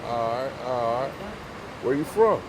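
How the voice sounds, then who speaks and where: A man's voice: mid-range voice, expressive, measured pace, noisy background. A man hosting a street interview channel, asking short, friendly questions in casual slang. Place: outdoors on a busy city street. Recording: outdoor street recording with passersby.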